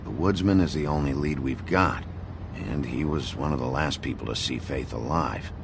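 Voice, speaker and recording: A man playing a gruff, brooding detective in a video game, terse and blunt, with a gravelly voice. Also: very deep voice, moderate pace, noisy background. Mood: grim, determined. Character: gruff, brooding detective, grim but protective adult man. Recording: loud music under the voice.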